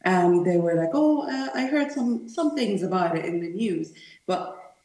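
A young woman from Sudan speaks as an interview guest, earnest and articulate. Her pitch sits a little low, and she leans on key words for emphasis. The recording carries obvious room echo. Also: expressive, a little slow, mellow. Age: 20s. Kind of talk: earnest personal account in an interview. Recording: echoey room sound.

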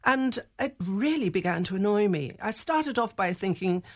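An older English woman talks as a guest on a radio show in a mid-pitched voice. She speaks in an articulate, measured way, telling her story at an even pace. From England. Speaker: author and former journalist. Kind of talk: radio interview.

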